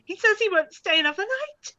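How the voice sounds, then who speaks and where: A woman acts an excitable teenage sister in a period radio drama. Her high voice swoops with gossipy glee. The audio is slightly dull. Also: a little fast, exaggerated voice. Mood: gleeful gossip. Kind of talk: period drama live-read radio play.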